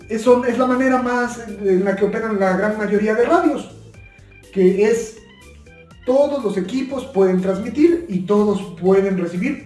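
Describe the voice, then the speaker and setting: A young adult man giving an informal, earnest tutorial as a video host, often repeating and rephrasing his points. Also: sits high. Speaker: YouTube explainer host. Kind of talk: informal spoken tutorial on two-way radios. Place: small bare indoor room, seated at table. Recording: echoey room, distant mic, faint music.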